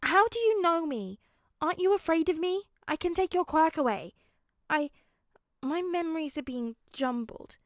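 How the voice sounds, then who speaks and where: A woman's voice: very high-pitched, expressive, normal speed, no echo, studio quality, pitched above the performer's own voice. A woman voicing a wary, confused, blunt small boy with an unsure, questioning way of talking.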